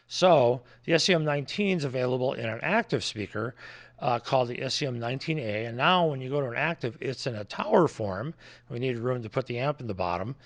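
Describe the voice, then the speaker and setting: American guy doing a casual product rundown, lots of vocal fry, explains stuff plainly, normal mid pitch. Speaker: audio distributor presenting products. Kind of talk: informal product presentation.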